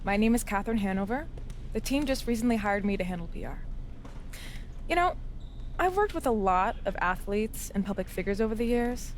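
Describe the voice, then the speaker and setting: An actress playing a polished, eager PR manager in a video game, courteous and effusive. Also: female voice, mid-range voice, expressive, brisk, slight background noise, lightly acted.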